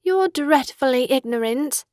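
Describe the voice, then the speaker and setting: A woman's voice: high voice, expressive, unhurried, a little mellow, no echo, clean studio sound. A woman playing a polite, motherly little girl in a full-cast audiobook, with a prim and courteous manner. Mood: patronizing.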